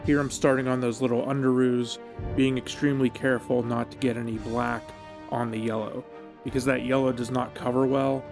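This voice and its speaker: A man's voice: medium pitch, level tone, brisk. Man narrating a how-to over music. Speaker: hobby painting tutorial host. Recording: music behind the voice. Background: background music.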